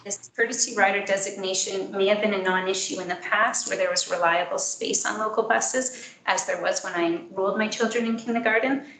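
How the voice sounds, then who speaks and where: Soft-voiced woman speaking at a meeting. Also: mid-range voice, steady tone. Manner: earnest, measured, with frequent ums. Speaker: parent speaking at public meeting. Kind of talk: prepared public statement. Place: school board meeting room. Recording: echoey meeting room with faint noise.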